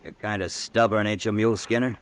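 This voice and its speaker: A man's voice: lowish voice, little pitch movement, brisk, lightly acted. An actor as a smug, scheming frontier businessman. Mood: veiled menace. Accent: American. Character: smooth, scheming Old West businessman villain. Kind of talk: old-time radio western acting.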